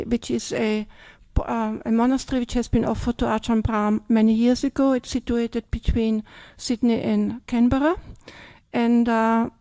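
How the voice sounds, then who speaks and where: A woman's voice: on the high side, warm tone, no room sound. An older woman, a Buddhist nun from Austria with an Austrian German accent, giving a chatty dharma talk that keeps checking in with "yeah?" and "yes?".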